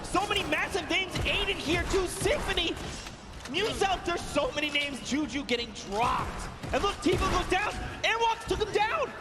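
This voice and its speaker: A man casting a live video game tournament, excitable and shouting at big plays, going hoarse. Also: extremely high pitch, normal speed, music in the background. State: losing his voice. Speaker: esports caster. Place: live arena event.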